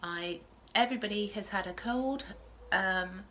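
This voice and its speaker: A woman's voice: medium pitch, a little slow, pushed and bright, slight background noise. A woman hosting a casual knitting podcast from home, sniffling with a starting cold and rambling with frequent self-corrections. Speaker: podcast host.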